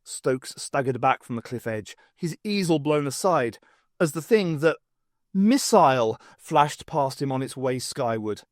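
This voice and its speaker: Man, audiobook narrator, medium pitch, scripted dramatic storytelling, science fiction, dry recording. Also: expressive, measured pace, dry recording. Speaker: audiobook reader.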